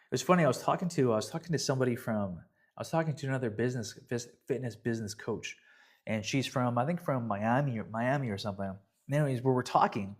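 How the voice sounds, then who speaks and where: Canadian guy, fitness coach, just chatting to his members, mid pitch, kinda rambly, repeats himself a lot. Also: fast. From Vancouver, Canada. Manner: conversational, rambling, loops back and repeats phrases. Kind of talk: casual explainer talk to members.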